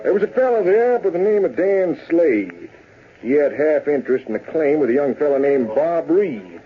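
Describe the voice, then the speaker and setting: A man's voice: on the high side, very animated, moderate pace, soft background music. A man playing a folksy American old-west storyteller in an old-time radio western, speaking in a colloquial drawl. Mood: folksy, relishing. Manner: drawling, colloquial, chuckling cowboy yarn-spinning. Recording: tinny, noisy old radio broadcast recording.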